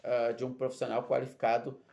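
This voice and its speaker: A man's voice: medium pitch, completely flat delivery, a little fast, gravelly, dark tone, highs cut off. A middle-aged man with a Brazilian Portuguese accent, speaking as a dog trainer in an informal, didactic monologue full of analogies and tag questions. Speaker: dog trainer and canine behavior specialist. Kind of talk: informal explanatory monologue to camera.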